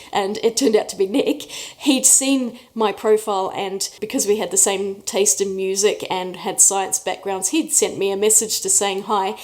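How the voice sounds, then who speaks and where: Kiwi woman in her 40s doing a chatty q&a vlog, mid pitch, laughs a lot while telling stories. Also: a little mellow. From New Zealand. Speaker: art YouTuber. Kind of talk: casual Q&A vlog chat. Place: room away from her usual studio.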